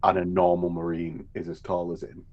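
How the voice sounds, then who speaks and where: A man co-hosting a casual hobby chat, relaxed and hesitant, often repeating words. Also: low-pitched, normal speed, muffled recording. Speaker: co-host.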